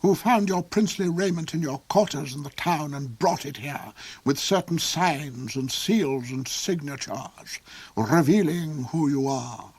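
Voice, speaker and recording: A man voicing a cold, cruel old duke who speaks slowly and mockingly, sometimes sinking to a hissing whisper. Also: a little high, a little mellow, breathy edge, pitched above the performer's own voice. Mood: sneering. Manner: slow, silky, mocking, drops into hissing whispers. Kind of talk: audiobook character voice.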